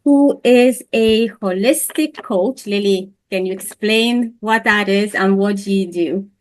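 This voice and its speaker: A middle-aged woman with a Lithuanian accent, hosting a casual interview and often agreeing with her guest through short affirmations. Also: medium pitch. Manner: friendly, affirming, quick back-channel responses. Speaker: podcast host.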